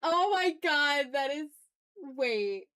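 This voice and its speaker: Higher-voiced woman chatting on an art stream. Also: lively intonation, very slow, a bit bright, low-quality recording. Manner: playful, talks to chat and thinks aloud. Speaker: art livestream host. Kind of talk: casual livestream chat while drawing.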